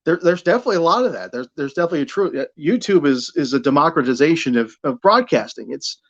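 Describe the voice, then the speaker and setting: A man's voice: fairly high pitch, expressive, fast, lo-fi sound. A middle-aged American man from Buffalo talking casually as an interview guest about his broadcasting work, often repeating words as he thinks. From Buffalo, New York, United States. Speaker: guest, radio sports broadcaster.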